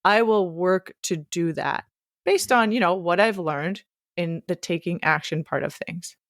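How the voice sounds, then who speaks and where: A woman co-hosting a podcast, with a somewhat low voice, encourages her partner and moves the talk along. The sound is close and dry, with no room echo. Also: a little slow. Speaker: podcast co-host. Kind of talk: casual podcast conversation.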